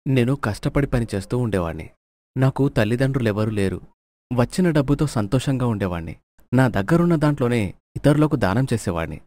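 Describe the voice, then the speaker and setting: A man's voice: mid-range voice, expressive, fairly quick, darkish tone, natural voice. A man playing a remorseful thief in a storybook tale, earnestly and apologetically explaining himself. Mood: earnest, regretful. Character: remorseful, earnest male thief telling his hard story.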